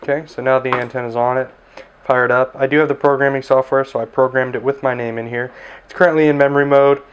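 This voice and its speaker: A man's voice: mid-range voice, flat delivery, fast, dull audio, a little hiss or hum. Easygoing man reviewing a radio. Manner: easygoing, frequent "uh" fillers. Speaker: tech reviewer, ham radio operator. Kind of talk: casual product review. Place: indoors at a desk.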